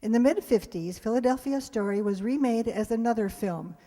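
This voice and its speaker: A woman's voice: a little high, moderate pace, warm tone. A woman giving a chatty live introduction to a show, engaging her audience as host. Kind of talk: live spoken introduction with trivia and audience questions. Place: live hall in front of an audience. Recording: echoey hall with long reverb.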